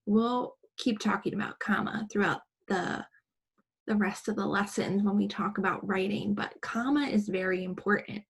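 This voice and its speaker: A woman with a somewhat husky, mid-pitched voice speaks as an elementary school teacher. She explains things to young children in simple, deliberate phrases. She often puts questions to her pupils as she goes.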